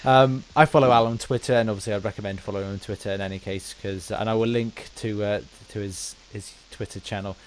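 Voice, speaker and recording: A man hosting a casual podcast interview, speaking in halting, hesitant phrases as he puts questions to his guest. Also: on the low side, steady tone, slight background noise, poor audio.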